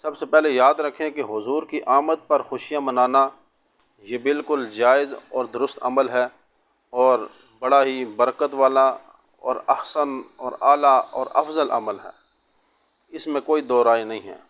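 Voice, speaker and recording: Male cleric delivering religious rulings, medium pitch, instructive and measured, on a dull lo-fi recording. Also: steady tone. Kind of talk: religious sermon answering a question on Islamic rulings.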